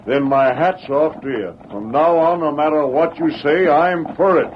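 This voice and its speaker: A man playing a loyal, admiring outlaw sidekick in an old radio Western, folksy and flattering, with an American Western accent. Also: on the high side, moderate pace, lightly acted. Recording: muffled old radio broadcast, faint hiss.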